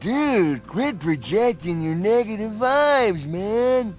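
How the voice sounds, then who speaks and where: An actor playing a laid-back surfer-dude judge in a video game, with drawling slacker talk. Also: male voice, high-pitched, big pitch swings, slow pace, soft background music, heavily acted. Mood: mellow, chiding.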